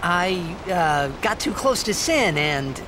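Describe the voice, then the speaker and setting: A man's voice: high-pitched, big pitch swings, takes it slowly, background noise, heavily acted. An actor playing a boyish young male athlete in a video game, cocky yet awkward, with casual, hesitant phrasing. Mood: awkward, friendly. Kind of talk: video game cutscene acting. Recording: music behind the voice.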